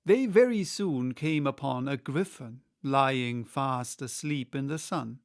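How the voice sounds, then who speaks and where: Unhurried, gravelly man reading a story. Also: medium pitch. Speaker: audiobook reader. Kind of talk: scripted storybook narration.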